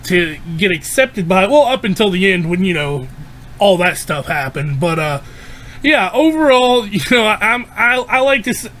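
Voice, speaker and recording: Bright high-voiced man, voice going hoarse. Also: a little hiss or hum. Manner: loose and rambling, many fillers and restarts. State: losing his voice. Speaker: anime reviewer. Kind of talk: casual spoken episode review.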